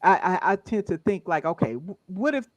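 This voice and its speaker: An American man co-hosting a gaming livestream, talking in a high voice. He is chatty and earnest and greets viewers by name. The sound is close and dry, with no room echo. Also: very animated, fairly quick. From United States. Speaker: co-host of a gaming podcast. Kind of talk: casual livestream chat.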